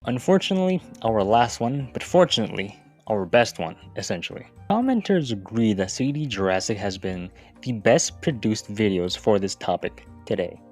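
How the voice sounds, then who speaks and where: A man's voice: on the low side, very animated, normal speed, a little mellow. A man narrating a video essay in a casual, informal way, with wry, sweary asides. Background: background music.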